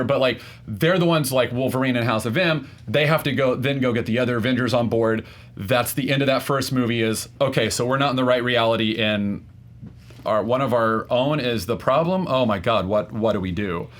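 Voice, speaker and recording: A man debating pop culture informally as a contestant, earnest and halting, often acting out imagined reactions. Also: medium pitch. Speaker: debate contestant on a movie debate show.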